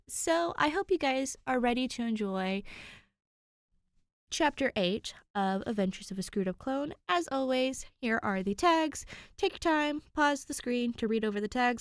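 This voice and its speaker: A woman's voice: high-pitched, dry recording. Close, dry-voiced woman reading stories. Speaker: podfic reader and channel host. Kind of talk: chatty intro, then expressive fan fiction narration.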